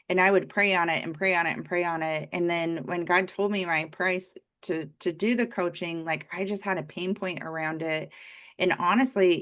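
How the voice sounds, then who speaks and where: A woman coach talks earnestly about faith and pricing, thinking out loud and using many fillers. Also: on the low side. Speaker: business coach. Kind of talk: faith-based business coaching talk.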